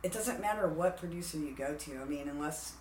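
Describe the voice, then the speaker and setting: A man with a raspy voice, deepened by testosterone, talking candidly and animatedly as a musician guest in a casual interview. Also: fairly quick, roomy sound, a little hiss or hum, low-quality recording. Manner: candid, animated, frequent "like" fillers.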